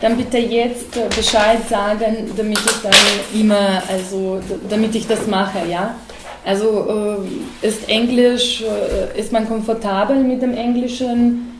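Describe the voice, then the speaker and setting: A woman opening a panel as moderator, halting with many fillers. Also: mid-range voice, level tone. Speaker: event moderator. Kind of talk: formal welcome at a panel. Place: gallery room. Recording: roomy gallery with faint noise.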